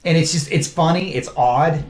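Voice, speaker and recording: A man, a film director talking casually in an interview and telling lively stories, often laughing. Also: fairly high pitch, normal speed. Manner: animated storyteller, laughs often, acts out quotes. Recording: echoey room.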